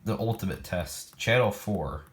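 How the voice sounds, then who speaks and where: A man's voice: deep voice, unhurried. A man casually showing off his weather radio collection, rambling and thinking aloud as he goes. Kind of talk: casual product demo vlog. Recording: noisy recording with clicks.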